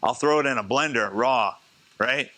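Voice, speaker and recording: A man, a chef, teaching a live cooking demonstration in a casual, chatty way, slightly hoarse as he is losing his voice. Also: mid-range voice, moderate pace, sharp tone. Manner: casual, chatty, talks to the class.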